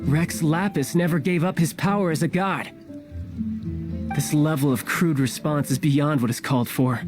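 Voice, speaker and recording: A man playing a charming, sly young villainous diplomat in a video game, breezy, teasing and smoothly persuasive. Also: fairly high pitch, normal speed, lightly acted. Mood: grave, persuasive. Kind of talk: video game character acting. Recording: music behind the voice. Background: game music.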